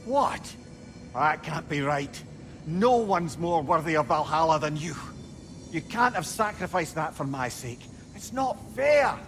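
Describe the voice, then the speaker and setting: An actor with a Scottish accent playing a witty, lovelorn old sage in a video game, expressive and heartfelt. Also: male voice, on the high side, unhurried, faint music behind. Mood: dismayed, pleading. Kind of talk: dramatic video game voice acting.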